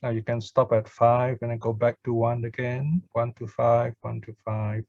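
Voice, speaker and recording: A man speaking gently and with pauses as a Buddhist teacher, chanting and guiding meditation. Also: medium pitch, little pitch movement, gravelly, no echo. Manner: gentle, measured, pausing between short phrases. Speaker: Buddhist teacher leading puja and guided meditation. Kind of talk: Pali chanting and guided meditation instruction. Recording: dull, lo-fi online call sound.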